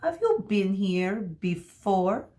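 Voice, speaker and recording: A woman's voice: mid-range voice, big pitch swings, slow, mellow. A woman voicing a flamboyant, vain male French hairdresser in a storybook, showy and self-satisfied. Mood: grand.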